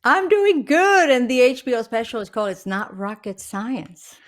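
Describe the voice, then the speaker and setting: Joking Puerto Rican woman comedian. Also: fairly high pitch. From Puerto Rico. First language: Spanish. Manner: animated, joking, quick asides. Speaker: guest comedian. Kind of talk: casual podcast interview.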